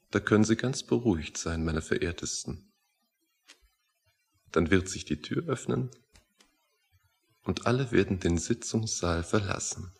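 An actor in a radio drama playing a sinister, needling man, unnervingly calm and mock-polite, with a husky, creaky voice and insistent manner. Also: low voice, full character voice. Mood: ominous calm.

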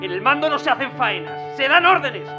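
An actor playing a ruthless, domineering military commander giving orders in an audio drama. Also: male voice, extremely high pitch, sing-song, brisk, highs cut off, over music. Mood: stern, menacing.

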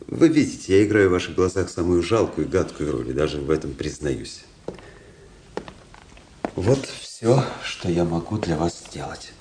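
An actor playing a cold, proud young Russian officer in a radio drama, speaking in a measured, formal, restrained way. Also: male voice, deep voice, animated, darkish tone, slight background noise. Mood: cold, resolute.

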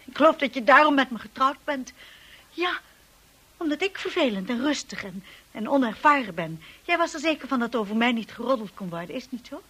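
Shy nervous young wife, breathy highish voice, hesitant, radio drama. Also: female voice, expressive, brisk, a bit bright, heavily acted. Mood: hurt, self-pitying. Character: shy, insecure young bride, earnest and devoted.

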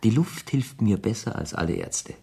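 A man's voice: lowish voice, wildly swooping pitch, moderate pace, vocal fry, dark tone, slightly rough, exaggerated voice. A man in a German radio play, playing a wealthy young bon vivant, urbane, flippant and mock-gallant. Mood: breezy, evasive. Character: suave, wealthy young man about town, playfully teasing.

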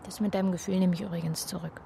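A woman's voice: on the low side, steady tone, rapid-fire, vocal fry, mellow, a little hiss or hum. A woman acting a frank female police investigator in a radio crime drama. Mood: matter-of-fact.

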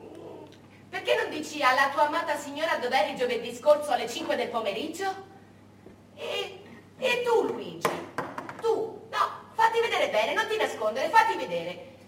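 A woman's voice: high voice, sing-song, moderate pace, fairly bright tone. An actress playing a bitter, jealous village woman who taunts and accuses in a theatrical monologue. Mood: bitter, taunting. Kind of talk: stage monologue. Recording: echoey stage, distant mic, faint noise.

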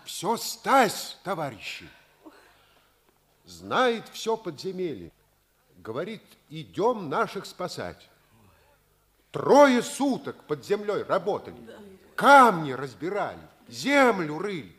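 An actor playing an earnest, eager wartime fortress defender in short emphatic phrases, in a 1950s Soviet radio drama. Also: male voice, high-pitched, sing-song, slow pace, pauses often, fairly bright tone, heavily acted. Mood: excited.

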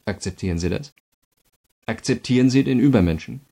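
An actor playing a calm, insistent state prosecutor who questions a prisoner in a measured way, in a radio crime drama. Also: male voice, medium pitch, gravelly. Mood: insistent, challenging.

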